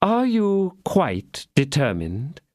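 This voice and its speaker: A man voicing a scheming, vindictive noblewoman who speaks in a measured, coaxing way. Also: on the high side, big pitch swings, dry recording, clean studio sound, voice pushed up.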